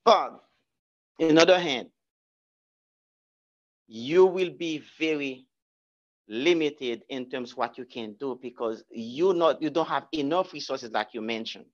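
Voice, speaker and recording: A man giving a guest talk, answering audience questions in a lecture room, halting with many restarts and repeated words. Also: medium pitch, highs cut off. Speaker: guest speaker.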